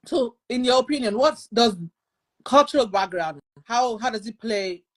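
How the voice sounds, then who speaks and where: Halting woman hosting a live discussion. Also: fairly high pitch, expressive. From Nigeria. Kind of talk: conversational moderating of a panel.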